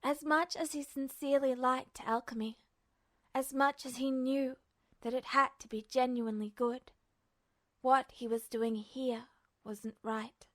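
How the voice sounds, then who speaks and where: A woman narrates prose fiction in a fairly high voice. She reads from a script in audiobook style, as the storyteller of a fan fiction recording. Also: steady tone, a little slow, a bit bright.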